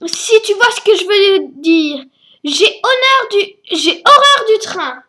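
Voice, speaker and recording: Casting: woman doing a shrill, rude witch with a cold, loud and giggly. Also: lively intonation, slow, exaggerated voice. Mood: shrill excited.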